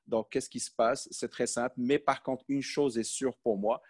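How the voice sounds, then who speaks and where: A man's voice: mid-range voice, steady tone, moderate pace. A Moroccan-born man in his forties, a podcast guest talking about his life in a hesitant, conversational way with many fillers. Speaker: podcast guest, sales manager. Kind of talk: conversational interview.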